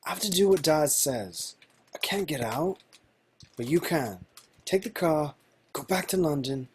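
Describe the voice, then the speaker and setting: A man's voice: a little high, lively intonation, measured pace, a little hiss or hum. A man reading a pleading part in an amateur table read over a video call.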